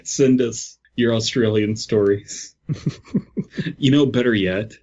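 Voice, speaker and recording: A man with a fairly low, husky voice chats casually as a podcast co-host. He takes his time, and the recording is a little dull. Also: slow pace, a little mellow. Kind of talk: casual chat.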